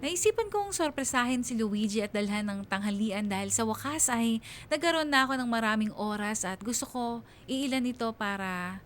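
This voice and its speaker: A woman's voice: fairly high pitch, animated, normal speed, faint music behind, close to an everyday voice. An actress playing a wistful young woman confiding her love story in first-person radio drama narration. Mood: wistful, reflective.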